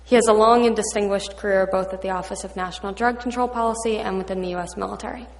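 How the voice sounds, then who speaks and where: A woman's voice: medium pitch, steady tone, fast, vocal fry. A woman moderating a conference panel, reading formal introductions of the speakers with light asides. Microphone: microphone. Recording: hall with long reverb.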